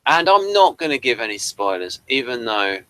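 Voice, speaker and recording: British male co-host, bright tone, dry sound, informal and meandering reviewer. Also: mid-range voice, big pitch swings, normal speed, no room sound. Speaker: podcast co-host. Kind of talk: casual review discussion.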